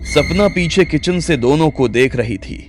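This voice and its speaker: A man's voice: a little high, normal speed. A man narrating a scripted audio drama story as its storyteller. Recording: music bed under the voice.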